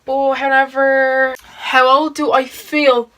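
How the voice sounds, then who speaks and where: A teenage girl chats her way through a makeup vlog, easygoing and full of fillers. Her voice is bright and slightly high, with a clear, sunny ring to it. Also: little pitch movement, takes it slowly. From Ireland. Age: teens. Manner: chatty, giggly, lots of "like" fillers. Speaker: beauty vlogger. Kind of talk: casual get-ready-with-me chat.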